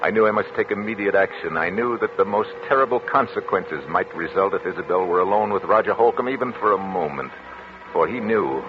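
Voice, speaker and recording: Formal controlling doctor, real deep, measured, old muffled 40s radio drama. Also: male voice. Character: controlling, coldly calculating male doctor narrating his crime. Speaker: radio actor, lead and narrator. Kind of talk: 1940s radio suspense acting. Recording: muffled, noisy vintage 1940s radio recording.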